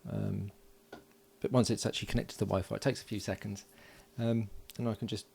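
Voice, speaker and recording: A man giving relaxed, matter-of-fact running commentary as he solders at a home workbench, recorded by an overhead camera. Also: fairly low pitch, a little fast, creaky, slightly rough. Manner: mutters along while working, relaxed and matter-of-fact. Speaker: electronics hobbyist. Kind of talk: casual build commentary.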